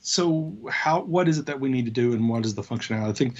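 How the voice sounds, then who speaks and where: A man co-hosting a casual tech discussion, talking in a halting way as he thinks aloud. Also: mid-range voice, animated, highs cut off. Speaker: co-host of a tech show.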